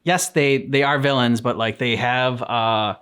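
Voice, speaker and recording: A man giving casual reaction commentary in a halting way, thinking aloud. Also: mid-range voice, fairly even intonation, fairly bright tone, clear, resonant tone. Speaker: reaction channel host. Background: faint game music.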